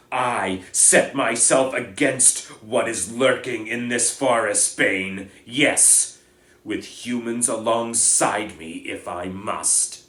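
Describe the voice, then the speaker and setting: A man's voice: low voice, fairly bright tone, recorded from a distance. Man doing Firenze, a grave noble centaur. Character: noble calm male centaur, protective. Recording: echoey room.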